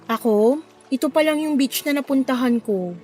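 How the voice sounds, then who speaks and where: An actress with a Filipino accent playing a friendly, playful young local woman in a radio drama, lively and coaxing. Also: sits high, moderate pace, faint music behind, close to an everyday voice. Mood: chatty, wistful. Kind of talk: Tagalog radio drama acting.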